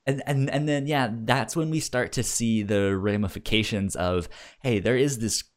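A man's voice: mid-range voice, normal speed. A man co-hosting a casual film discussion, stammering and often repeating words and syllables. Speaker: film podcast co-host.

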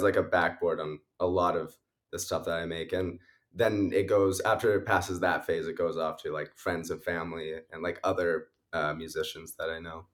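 Low-voiced sniffly young man, rambling. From Canada. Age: young adult. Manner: rambling, many likes and uhs, laughs often. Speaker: musician guest. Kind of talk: casual interview answers.

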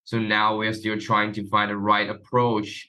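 A man's voice: fairly low pitch, completely flat delivery, measured pace, sharp tone, poor audio. A man from Taiwan, a guest chatting casually in an interview. His phrasing is loose and sprinkled with "you know". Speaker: guest, marketing professional.

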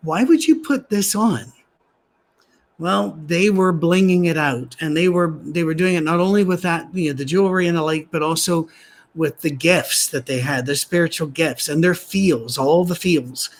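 A man, a pastor, preaching a sermon in a soft, gentle voice, conversational with dry humorous asides, at a church gathering held on a soundstage. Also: a little high, normal speed.

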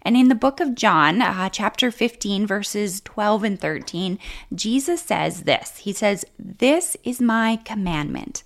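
A woman co-hosting a faith-based marriage podcast, speaking in a measured, unhurried way. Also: mid-range voice, animated, vocal fry. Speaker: podcast co-host.

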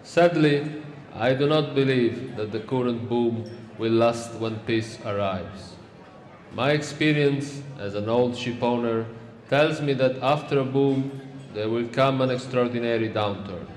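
An actor playing an old ship owner who gives a formal, measured banquet speech. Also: male voice, mid-range voice, darkish tone, natural voice. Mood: solemn. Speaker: speaker at a banquet. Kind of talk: radio play acting. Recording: echoey hall, heard from a distance.

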